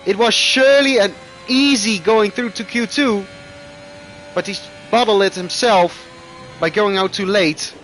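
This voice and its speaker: A man whose first language is Dutch calls a sim racing session live, his voice sitting a little high and jumping up in excited bursts. Faint hiss and engine noise sit under him. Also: measured pace, rough recording. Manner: excitable, rising loud bursts at crashes. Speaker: esports race commentator.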